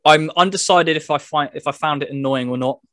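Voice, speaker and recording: A man's voice: on the high side, a little fast, sharp tone, dry recording, studio quality. A man hosting a casual football game review, talking in a loose, rambling, hesitant way. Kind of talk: casual chat.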